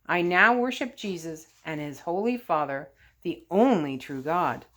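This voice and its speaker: A woman's voice: fairly low pitch, lively intonation, a little slow, fairly bright tone. A woman voicing an old sorcerer, an emotional and earnest man, as she reads a story aloud. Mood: fervent. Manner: earnest, pleading, dramatic. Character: frightened old Chaldean sorcerer, desperate, pleading, emotional man.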